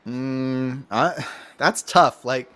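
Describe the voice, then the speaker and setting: A man's voice: mid-range voice, very slow, bright, forceful tone, a little hiss or hum. A man co-commentating a sim race, explaining driving in a hesitant, thoughtful way. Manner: hesitant, thoughtful, many restarts. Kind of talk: live race commentary chat.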